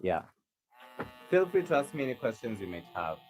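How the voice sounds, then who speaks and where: A man's voice: medium pitch, sing-song, moderate pace, darkish tone, soft background music. A man from Kenya, a rug maker chatting casually on a livestream and answering viewers' questions with a helpful, rambling manner. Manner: chatty, helpful, lots of restarts.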